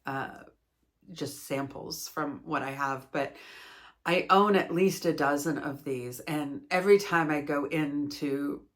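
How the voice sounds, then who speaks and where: Canadian woman, host of a craft review video, low-pitched and unhurried, rambling and self-deprecating. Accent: Canadian English. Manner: chatty and rambling, self-deprecating, laughs at herself. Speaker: yarn reviewer, channel host. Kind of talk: casual chat, product review.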